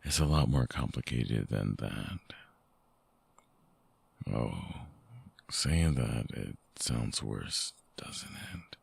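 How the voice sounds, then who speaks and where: A man playing a remorseful, lovesick vampire ex-boyfriend in an intimate ASMR roleplay, husky and breathy, hesitant and pleading, close on the mic. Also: extremely low pitch, animated, drawn-out words, long pauses, dry recording, heavily acted. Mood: guarded, earnest. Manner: hesitant, soft-spoken, pleading. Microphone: close binaural microphone, dry.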